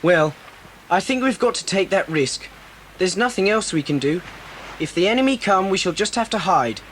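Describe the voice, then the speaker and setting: An actress playing a bold, practical child adventurer in a children's audio drama. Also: female voice, medium pitch, expressive, moderate pace, slight background noise, lightly acted. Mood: grave, resolute.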